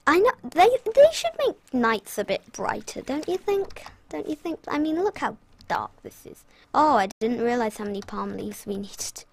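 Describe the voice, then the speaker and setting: A woman's voice: a little high, big pitch swings, moderate pace, vocal fry, a little hiss or hum. A young British woman chatting casually through her gameplay, rambling with self-mocking asides. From Britain. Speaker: gaming channel host.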